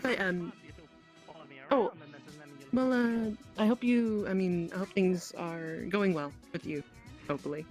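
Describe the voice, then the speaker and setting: A woman voicing a gentle, wary, inquisitive character in improvised online roleplay, often hesitating and breaking off her questions. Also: medium pitch, a little slow, pauses often, soft background music, lightly acted. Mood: kind.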